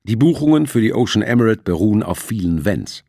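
Man playing a stern, husky banker. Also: lowish voice, lively intonation, a little fast, dark tone, no room sound, studio quality, pitched above the performer's own voice. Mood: icy, serious. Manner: curt, serious. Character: stern, icy male bank executive.

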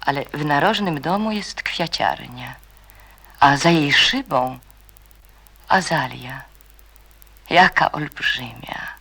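A narrator reading a radio drama in a measured, suspenseful way, hushed at times. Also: medium pitch, animated, gravelly, breathy edge.

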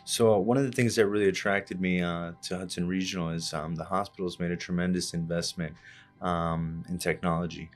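Podcast guest, male, 30s, surgeon: low and even, steady and explanatory, filler-heavy, studio desk mic. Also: soft background music. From Cuba, raised in Miami. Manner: measured, explanatory, many "uh" and "you know" fillers. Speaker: orthopedic surgeon, interview guest. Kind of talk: hospital podcast interview. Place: small studio set with plant and armchairs. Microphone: desk podcast microphone on arm.

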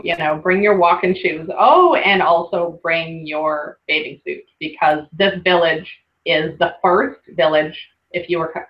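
A bubbly woman talks through a slideshow in a loose, chatty way, sprinkling in lots of "like" and quick laughs. Her voice sits a little low. The web call audio is dull and lo-fi. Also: gravelly. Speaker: workshop host presenting slides. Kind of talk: casual webinar presentation.

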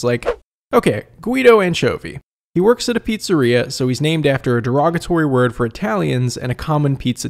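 A man hosts a scripted comedic review in a fairly high voice with some creak in it. His delivery is very animated, wry and conversational. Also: a little hiss or hum. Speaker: video essay host and reviewer.

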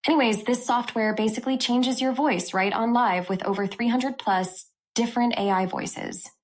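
A woman host narrating a scripted software review for a tech channel. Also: fairly high pitch, normal speed.

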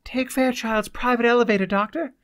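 A man's voice: very high-pitched, fairly even intonation, brisk, fairly bright tone, no echo, clean studio sound, pitched above the performer's own voice. A man voicing an anxious, eager young woman held captive in a pulp science fiction audiobook. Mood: urgent, helpful.

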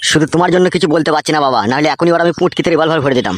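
পুরুষের অভিনয়ে দ্রুতভাষী, মাথাগরম গ্রাম্য মাস্তান ছোকরা. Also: male voice, on the high side, rapid-fire, dry recording, close to an everyday voice. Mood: impatient, violent. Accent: rural West Bengal. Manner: impatient, threatening. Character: hot-headed, trigger-happy young village tough. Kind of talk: comic cartoon dialogue.

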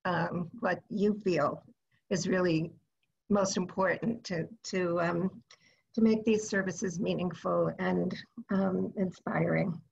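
A woman moderates a congregation's online town hall, halting and full of ums. Her voice is low, gravelly and husky. The call audio sounds dull and lo-fi. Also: steady tone, a little mellow. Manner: hesitant, with many fillers and restarts. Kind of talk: introducing the next speaker.

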